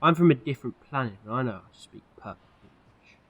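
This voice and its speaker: A man's voice: mid-range voice, animated, fast, husky, lo-fi sound. A man playing an eccentric, moralising alien time traveller in an audio drama, speaking earnestly and emphatically. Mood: warning, wry. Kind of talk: fan audio drama acting.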